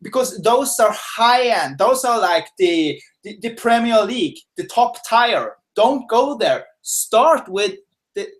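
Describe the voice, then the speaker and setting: A man's voice: sits high, lively intonation, takes it slowly. Chatty man in a home office. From Norway. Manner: casual, chatty, lots of fillers and restarts. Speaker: guest expert. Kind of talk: webinar interview answers.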